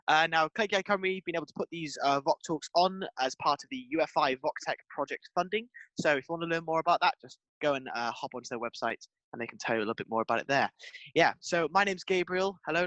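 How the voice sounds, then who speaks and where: A man's voice: a little high, fairly bright tone, dry recording, poor audio. A man hosting a webinar over a video call, chatty and full of fillers. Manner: quick, chatty, with many fillers. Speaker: webinar host.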